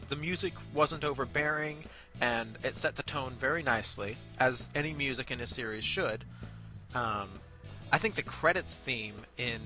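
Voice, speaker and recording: A man co-hosting a casual review show, giving measured, agreeable commentary with some hesitation. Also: medium pitch, pushed and bright. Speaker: co-host of an amateur review show.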